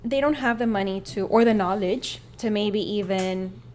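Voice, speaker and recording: A woman's voice: medium pitch, normal speed, darkish tone, a little hiss or hum. Woman in her thirties, chatty and agreeable. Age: 30s. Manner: agreeable, often adds "right?". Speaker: real estate podcast co-host. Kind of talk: casual conversational chat.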